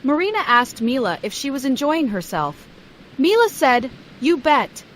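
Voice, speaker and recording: A woman's voice: high-pitched, sing-song, normal speed, slight background noise, low-quality recording. A woman narrating a scripted children's bedtime story.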